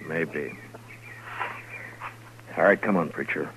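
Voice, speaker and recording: Stern american western lawman, real low voice, dry and measured, noisy old radio tape. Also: fairly bright tone, heavily acted. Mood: firm, grim. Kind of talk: 1950s radio western acting.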